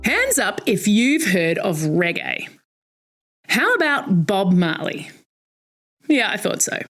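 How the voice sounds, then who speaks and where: A middle-aged woman with an Australian accent, hosting a scripted educational narration for kids in a bright, playful manner. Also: medium pitch, takes it slowly, soft background music. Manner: bright, playful, animated storyteller. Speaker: host.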